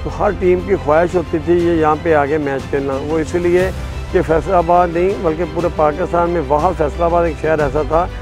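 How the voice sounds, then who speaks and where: A middle-aged Pakistani groundsman with a slightly high voice, sitting out on the field for a sports documentary interview, music playing underneath. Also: steady tone, normal speed. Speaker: stadium caretaker being interviewed. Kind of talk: informal interview to camera. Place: outdoors on a cricket stadium field. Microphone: lapel mic. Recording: music behind the voice.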